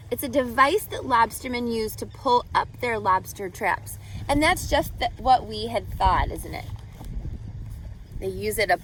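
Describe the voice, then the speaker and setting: A woman reading a picture book aloud to children as a teacher, expressively, pausing to ask guiding questions and explain. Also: a little high, a little hiss or hum, rough recording.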